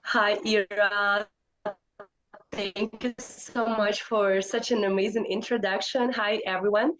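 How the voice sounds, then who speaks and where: A woman's voice: mid-range voice, fairly even intonation, slow pace, a bit bright, a little hiss or hum. A woman speaking informally as a guest presenter on a dull, lo-fi online call.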